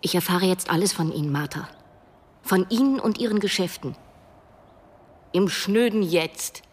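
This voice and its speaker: A woman's voice: fairly low pitch, normal speed, slightly rough. An actress playing a young woman who narrates a radio drama, probing and direct with a wry edge. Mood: insistent, wry. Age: young adult. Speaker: first-person narrator.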